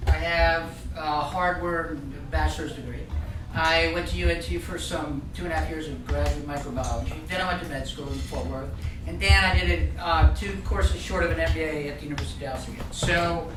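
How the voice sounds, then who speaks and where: A man's voice: fairly high pitch, steady tone, brisk. A middle-aged man from Ireland giving a casual, joking talk to a live group, rambling with frequent restarts. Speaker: guest speaker. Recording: roomy meeting room, distant mic, noisy.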